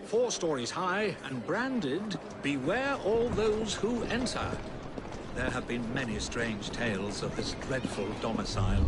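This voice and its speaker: A man playing a genteel, theatrical Victorian gentleman in a video game, telling ghost stories in florid, articulate speech. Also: fairly high pitch, heavy background noise. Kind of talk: dramatic ghost-story narration in a video game.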